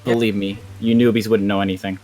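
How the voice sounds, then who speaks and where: A man's voice: on the low side, level tone, quick pace, darkish tone, faint music behind, natural voice, low-quality recording. A man playing a menacing, scornful rival mage who taunts and dismisses others in a fantasy game roleplay. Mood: taunting. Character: menacing, scornful male rival guild mage, sneering bully. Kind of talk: improvised Minecraft fantasy roleplay.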